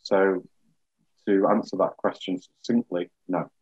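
A man in his thirties giving measured explanations as a geologist guest on a webinar video call. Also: low-pitched, fairly even intonation, a little mellow, breathy edge, highs cut off. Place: small room, indoors, on a video call.

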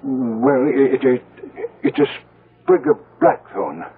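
Husky, halting old father. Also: medium pitch, narrow, tinny audio. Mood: evasive, flustered. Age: older. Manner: halting, stammering. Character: hesitant, fearful, superstitious old widowed father. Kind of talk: 1970s radio drama acting. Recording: band-limited old radio broadcast.

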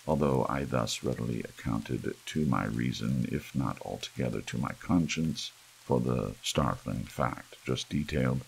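A man reading a gothic story as an ASMR audiobook in a gravelly, husky voice, with measured, dramatic phrasing and pauses. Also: a bass voice, a little hiss or hum, low-quality recording. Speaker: audiobook narrator. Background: soft rain.

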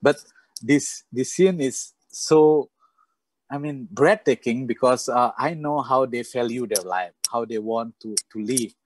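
A middle-aged man from Bali with an Indonesian accent, interviewing reflectively and haltingly over a video call on an earphone mic. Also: mid-range voice, darkish tone, no room sound, poor audio. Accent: Balinese Indonesian. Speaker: interviewer, moderator. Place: book-lined room, indoors, video call. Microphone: earphone headset mic.

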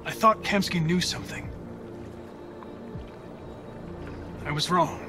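A man's voice: high-pitched, brisk, lightly acted. A young man with an American accent playing a composed, earnest android police investigator in a video game, speaking formally and precisely. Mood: probing, insistent. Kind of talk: cinematic video game drama. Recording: dramatic music under the voice.

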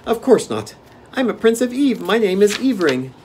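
Man doing a high, piping little prince. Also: animated, brisk, a little hiss or hum, lightly acted. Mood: proud. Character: little fairy prince boy, bewildered and proud.

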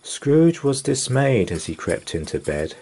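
A man reading a rhyming children's story aloud as a storyteller, with deliberate, theatrical expression. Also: mid-range voice, big pitch swings, mellow, slight background noise. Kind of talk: dramatic rhyming bedtime story narration.

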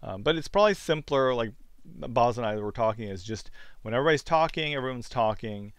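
A man giving a casual, easygoing software tutorial as host, thinking aloud as he goes. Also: medium pitch, expressive, a little fast, smooth, no echo. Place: indoors at a computer, screen-recorded demo. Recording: music bed playing behind the voice. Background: music.

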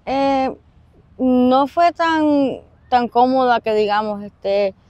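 A young woman with a Puerto Rican accent giving personal testimony in an interview, halting and pausing mid-sentence. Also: high voice, steady tone, dry recording, highs cut off. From Puerto Rico. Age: young adult. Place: outdoors in an open park.

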